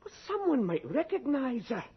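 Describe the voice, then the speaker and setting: An actor playing a conscience-stricken, hot-tempered medical assistant in a radio drama, dramatic and emphatic. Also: male voice, very high-pitched, very animated, moderate pace, slightly rough, heavily acted. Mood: anxious, appalled. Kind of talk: radio drama acting and narration. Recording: dull radio recording with crowd noise.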